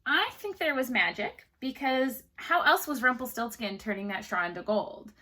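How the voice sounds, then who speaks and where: A woman's voice: a little high, animated, normal speed, pushed and bright. A woman teacher reading a story aloud to children, expressively, with chatty questions to the listeners.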